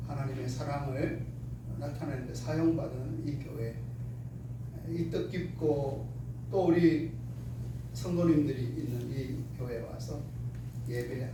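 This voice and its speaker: A man delivers an earnest church sermon in a fairly high, hoarse voice. He speaks deliberately as a guest preacher. The sound is heavily echoing and picked up from a distance. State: hoarse from a throat cold. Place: church sanctuary during Sunday service. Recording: very echoey church hall, distant microphone, noisy.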